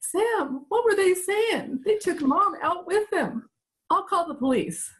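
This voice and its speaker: A woman's voice: very high-pitched, animated, takes it slowly, heavily acted. A woman playing a shaken, pleading young secretary in the style of a 1940s radio play. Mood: frightened pleading. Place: home, recorded over video call.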